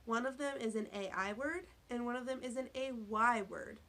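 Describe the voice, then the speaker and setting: A woman teacher giving a read-aloud phonics lesson to young children, speaking deliberately, spelling out words and asking questions. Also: fairly high pitch, fairly bright tone. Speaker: elementary school teacher.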